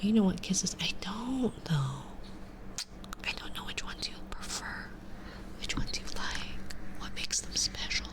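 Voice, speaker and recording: A woman in her twenties with a stuffy nose, chatting softly on a live ASMR stream and slipping into whispers. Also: medium pitch, unhurried. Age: 20s. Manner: soft and relaxed, drifting into whispers. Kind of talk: casual chat to a live stream. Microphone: binaural mic.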